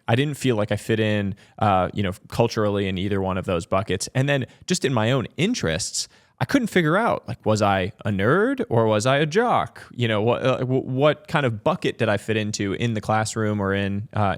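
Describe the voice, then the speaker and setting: A man's voice: lowish voice, animated, moderate pace, no room sound, studio quality. A man from Massachusetts, a podcast guest, thoughtfully telling stories about his early life, with frequent "um" and "like" fillers. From Massachusetts, USA. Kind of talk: reflective interview storytelling.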